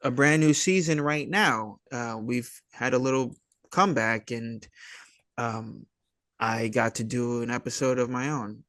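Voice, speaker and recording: A man giving casual interview answers as a guest, rambling and halting in an easygoing, dude-like way. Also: medium pitch, a bit bright, no echo. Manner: rambling, halting, laid-back dude talk. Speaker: interview guest, indie filmmaker and writer.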